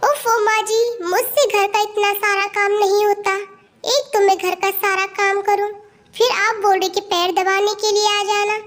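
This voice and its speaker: A woman's voice: very high-pitched, flat delivery, normal speed, fairly bright tone, voice pushed up. A woman voicing a young, put-upon daughter-in-law in a Hindi cartoon story, in a childlike delivery. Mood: whiny, defiant.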